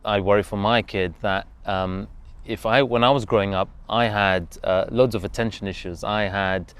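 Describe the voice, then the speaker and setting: A man's voice: on the low side, fairly even intonation, normal speed, a bit bright, clean tone. A man from Zambia hosting a casual interview, speaking in an agreeable, affirming way as he shares thoughts and leads into questions.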